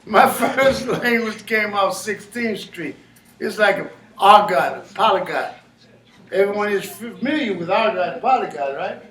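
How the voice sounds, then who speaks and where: A man giving an informal lecture to a small live audience, animated and often questioning the listeners. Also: sits high, unhurried. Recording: echoey room, mic far off.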